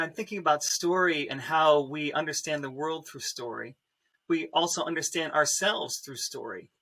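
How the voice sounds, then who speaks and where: A man's voice: on the high side, sharp tone. A man on a discussion panel, thinking aloud thoughtfully and posing rhetorical questions. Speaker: storyteller panelist.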